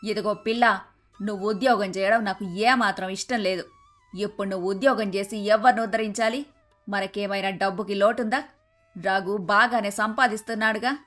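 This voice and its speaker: A woman playing a traditional, domineering and sharp-tongued older mother-in-law in a cartoon moral story. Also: mid-range voice, fairly even intonation, normal speed, close to an everyday voice. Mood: stern, scolding. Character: bossy, traditional, scolding older mother-in-law and household matriarch. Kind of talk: dramatised moral story dialogue. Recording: music behind the voice.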